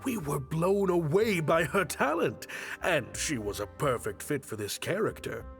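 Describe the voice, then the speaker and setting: An actor playing a proud, diplomatic theater director in a video game, speaking in a polished public manner. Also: male voice, on the high side, sing-song, a little slow, faint music behind. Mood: assured praise.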